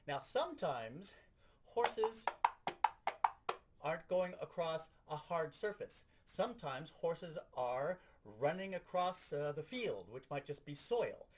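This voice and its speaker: A man giving an animated, explanatory, hands-on lesson as a sound effects instructor. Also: a little high, takes it slowly, very noisy.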